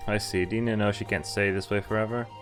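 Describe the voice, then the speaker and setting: A man's voice: fairly low pitch, fairly even intonation, quick pace. A man streaming a visual novel, reading it aloud casually with asides to chat and often stumbling and correcting himself. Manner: stumbles over read lines and corrects himself. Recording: music playing behind the voice. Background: background music from the game.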